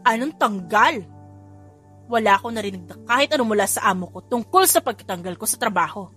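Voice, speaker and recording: A woman's voice: medium pitch, animated, fairly quick, fairly bright tone, slightly rough, soft background music. A woman narrator voicing Dodong, a former factory manager who speaks defensively and with indignation in an audiobook story. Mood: indignant.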